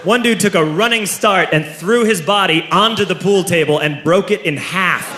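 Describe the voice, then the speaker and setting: A man's voice: high voice, moderate pace. A man telling a story as a stand-up comedian, with an emphatic, theatrical delivery, in front of a live audience. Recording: roomy live stage recording with loud audience. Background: laughing crowd, background noise.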